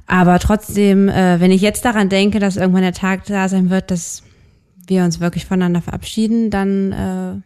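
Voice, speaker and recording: A woman's voice: mid-range voice, flat delivery, moderate pace, darkish tone, clean tone. A woman in her early thirties talking candidly in a podcast conversation, hesitant, with many fillers and restarts. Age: 30s. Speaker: podcast co-host.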